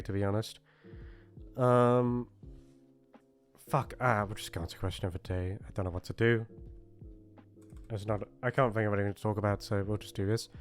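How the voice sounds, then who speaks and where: Halting, self-deprecating man with music behind. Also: lowish voice. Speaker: voice actor hosting his channel. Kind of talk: rambling casual outro chat.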